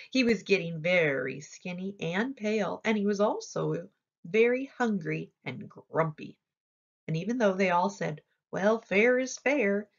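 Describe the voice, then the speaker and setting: A woman reading a children's story aloud in an expressive, deliberate storytelling manner. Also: mid-range voice, lo-fi sound. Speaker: storybook reader.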